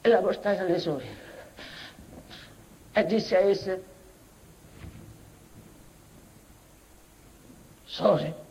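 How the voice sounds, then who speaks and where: A woman's voice: medium pitch, takes it slowly, mellow, dull audio, a little hiss or hum, natural voice. An actress playing a mad, childlike, tormented mother in a tragicomic stage monologue, speaking a broken invented dialect with obsessive repetitions and stammered restarts. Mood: mournful.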